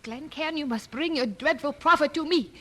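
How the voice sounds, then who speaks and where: A woman's voice: high-pitched, moderate pace, over loud music, close to an everyday voice. An actress playing a proud, ambitious young queen in a historical audio drama, speaking in a formal, regal manner. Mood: indignant, exasperated.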